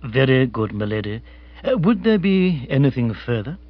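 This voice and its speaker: Guy doing a very proper english butler, deferential and measured, husky, slightly dull recording. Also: mid-range voice, sing-song, dry recording, voice pushed down. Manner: stately, circumlocutory, very polite. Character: unflappable, formal English manservant, deferential and precise.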